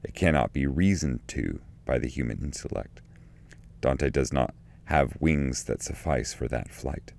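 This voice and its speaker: A man reading scripted literary commentary and poetry in a measured, solemn, deliberate way. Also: a bass voice, gravelly, a little mellow. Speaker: narrator and translator reading his edition.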